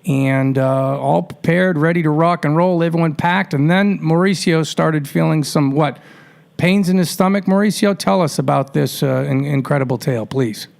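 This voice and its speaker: Man, radio host, fry-laden voice, slightly raised pitch, conversational, colloquial. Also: normal speed, a little mellow. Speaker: radio show host, interviewer.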